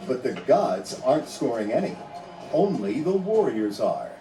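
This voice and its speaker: A man narrating a scripted storybook read-along in a measured, dramatic storytelling manner. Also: medium pitch, very animated, dark tone, echoey room, distant mic, soft background music.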